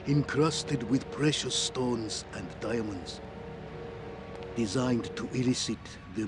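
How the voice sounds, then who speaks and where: A man playing a sadistic, gloating crime boss in a video game, menacing and theatrical as he savours his threats. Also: lowish voice. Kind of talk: cinematic video game villain acting. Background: music.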